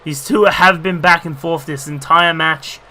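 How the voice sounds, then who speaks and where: Bright-voiced man excitedly commentating gameplay. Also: on the high side, measured pace. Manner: rambling commentary with many fillers, bursts of excitement at big moves. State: losing his voice. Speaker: gaming YouTuber commentating a wrestling game.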